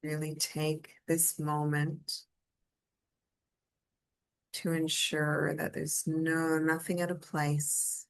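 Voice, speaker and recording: A woman with a low voice leads a guided meditation at an extremely unhurried pace. Her intonation stays quite flat and steady, and her delivery is gentle and soothing, softly inviting the listener along.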